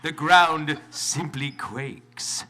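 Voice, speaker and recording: A man's voice: a little high, expressive, drawn-out words, bright, forceful tone. A man narrating stage directions dramatically at a live convention reading, with playful asides. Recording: live convention stage, clear background noise.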